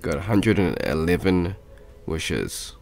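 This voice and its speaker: A man's voice: low-pitched, sing-song, creaky, darkish tone. A man casually commenting on a video game as he plays, sounding sick and nasal with a stuffy cold. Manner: slow, chatty, talks to viewers while playing. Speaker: gaming YouTuber.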